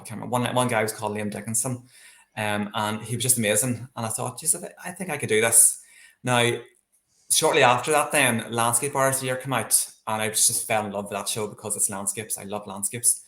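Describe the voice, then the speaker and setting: A man's voice: fairly low pitch, a bit bright. Chatty Northern Irish man in an echoey room. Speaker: interview guest, landscape painter. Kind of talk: casual interview, personal storytelling. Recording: echoey, roomy sound.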